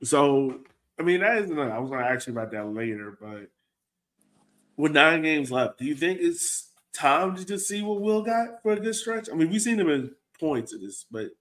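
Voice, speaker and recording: A man's voice: a little high, big pitch swings, normal speed, fairly bright tone. A man co-hosting a soccer fan show, talking casually and putting questions out for discussion. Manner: conversational, poses questions to co-host. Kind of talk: casual sports chat.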